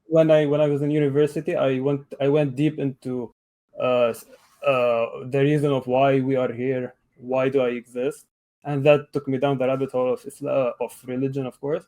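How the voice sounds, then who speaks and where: Guy around 31 from lebanon, mellow relaxed voice, debate call guest, kinda hesitant with pauses. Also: mid-range voice. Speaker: guest caller, atheist debater. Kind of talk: conversational debate on a live call.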